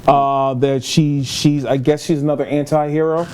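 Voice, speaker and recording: A man's voice: fairly high pitch, little pitch movement, moderate pace, darkish tone, slight background noise. A man co-hosting a geek news podcast, talking casually and chattily.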